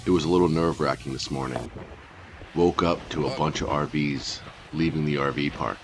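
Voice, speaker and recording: A man narrating his travel vlog in a relaxed, chatty voice-over. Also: rumbling low, fairly quick, slight background noise. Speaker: vlogger.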